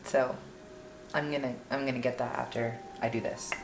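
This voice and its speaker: A young woman with a notably low voice talks casually through a recipe as she works. She is informal and unscripted, like a home vlogger. The room is clearly echoey, with noticeable kitchen noise of pots and utensils behind her. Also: steady tone, normal speed. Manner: informal, narrating each step while working. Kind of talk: casual cooking demonstration.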